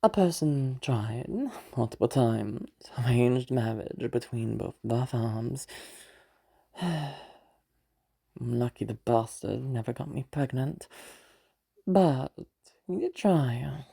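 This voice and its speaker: A woman playing a sly, teasing female demon of lust in an audio roleplay, bargaining patiently in a breathy, creaky voice with halting pauses and whispers. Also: rumbling low, warm tone, heavily acted. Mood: confiding.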